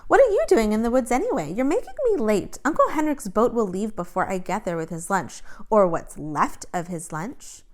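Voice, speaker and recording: A woman's voice: medium pitch, very animated, normal speed, hardly any pauses, pitched above the performer's own voice, close to an everyday voice. A woman voicing a young girl who chatters indignantly and childishly, in a read-aloud storybook style. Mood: indignant, impatient. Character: frightened ten-year-old girl feigning silly, insolent chatter.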